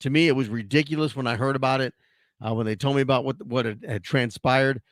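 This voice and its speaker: A hoarse man hosting a sports talk show, ranting in a casual, animated way with frequent restarts. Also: mid-range voice, quick pace, dry recording, clean studio sound.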